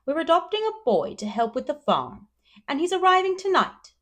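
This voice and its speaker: A woman voicing a calm, matter-of-fact farm woman in a storybook, composed and plain-spoken. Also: very high voice, normal speed, voice pushed up, exaggerated voice. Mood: calm, firm.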